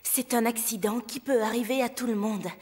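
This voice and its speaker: Kindly cartoon juror, husky voice. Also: female voice, a little high, fairly even intonation, moderate pace, a little mellow. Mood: sympathetic. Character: kindly, admiring female ballet juror. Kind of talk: French animated series dialogue.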